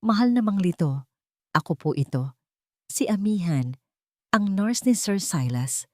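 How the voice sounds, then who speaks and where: A woman's voice: mid-range voice, animated, a little slow, no echo, clean studio sound, close to an everyday voice. A woman voicing an earnest, anxious young female nurse in a storybook, respectful and pleading, with a Filipino accent.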